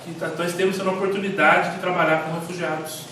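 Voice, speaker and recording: A man with a Brazilian Portuguese accent, telling stories in a rambling, animated way while teaching a church class. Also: sits high, fast. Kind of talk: informal church class talk. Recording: echoey hall, mic far off, faint noise.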